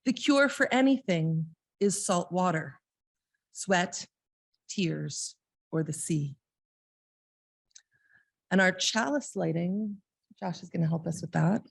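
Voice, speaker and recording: Woman with creaky voice leading worship. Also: lowish voice, expressive, takes it slowly, long pauses, no echo, studio quality. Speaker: lay worship leader giving readings at a church service. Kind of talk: informal service leading and read-aloud readings.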